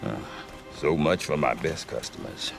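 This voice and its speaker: An actor playing a cynical, grumbling frontier trader in a game, with a sardonic, colloquial way of talking. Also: male voice, very deep voice, moderate pace, vocal fry, over music. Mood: wry, explanatory. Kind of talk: conversational game dialogue.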